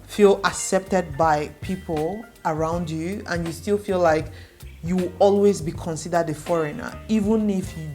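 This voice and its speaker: A woman's voice: lowish voice, darkish tone. A young adult woman from Nigeria, a native Igbo speaker, earnestly reassuring viewers in a direct-to-camera advice vlog and repeating points for emphasis. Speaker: vlogger.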